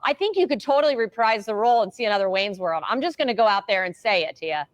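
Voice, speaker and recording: A woman's voice: medium pitch, expressive, fast talker, no room sound. A woman hosting a morning TV show, upbeat and bubbly, tossing in casual asides as she introduces and questions a guest in the studio. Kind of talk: upbeat TV interview.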